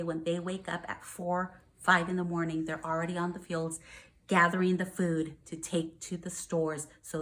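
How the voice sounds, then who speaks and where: A woman's voice: fairly low pitch, a bit bright, low-quality recording. An American woman, a first grade teacher, reads aloud to young children and explains things simply, checking in with questions.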